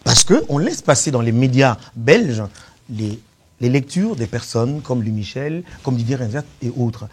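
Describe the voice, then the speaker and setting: A man's voice: mid-range voice, very animated, measured pace, breathy edge. A man of Congolese origin, a political candidate, arguing his case in a debate, insistent and emphatic, often repeating words. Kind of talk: debate on a web TV show.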